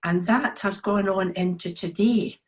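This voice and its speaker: A woman's voice: mid-range voice, measured pace. A woman with a Scottish accent, chatting casually as an author being interviewed.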